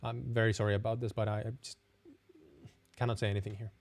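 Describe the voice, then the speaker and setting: A man's voice: lowish voice, little pitch movement, fast talker. A young Finnish man, speaking English with a Finnish accent, hosts a live stream Q&A in a friendly, hesitant way, often stopping and restarting his sentences. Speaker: host.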